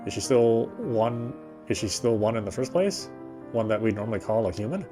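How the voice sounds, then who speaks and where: A man reading the first-person narration of a horror visual novel in a measured, brooding way. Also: medium pitch, music in the background. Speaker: reader.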